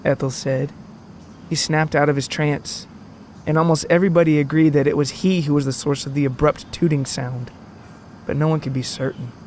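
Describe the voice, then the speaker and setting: A man narrating a scripted comic story in a wordy, deadpan manner. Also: a little high, normal speed, a little hiss or hum.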